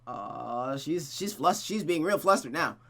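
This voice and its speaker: A streamer with a mid-pitched voice reads a visual novel aloud, narrating expressively and adding casual asides. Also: lively intonation, a little slow, fairly bright tone.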